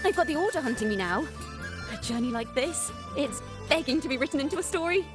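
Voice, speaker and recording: A woman's voice: high-pitched, lively intonation, fast talker, music in the background. A woman playing a young wandering bard in a game, earnest and loyal to her partner. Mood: earnest, hopeful. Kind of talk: game voice acting.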